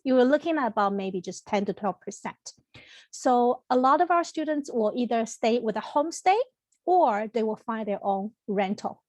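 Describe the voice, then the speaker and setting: A woman's voice: fairly high pitch, darkish tone, no echo. A woman from Taiwan giving an online information presentation to prospective students, speaking in a friendly, explanatory way with frequent ums and restarts. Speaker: college representative presenting to students. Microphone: computer mic on a video call.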